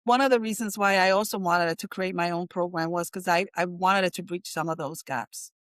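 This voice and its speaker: Female interview guest, middle-aged, raised in New Jersey. Slightly low voice, candid and conversational, dry close recording. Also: moderate pace, a bit bright, no echo. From Puerto Rico and New Jersey, US. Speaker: interview guest, counseling center chief executive.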